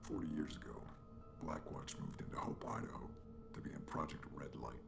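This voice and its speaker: An actor playing a secretive, urgent male informant in a game cutscene, speaking in a deep, gravelly, hushed voice. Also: fairly even intonation, normal speed, in a whisper, over music, exaggerated voice.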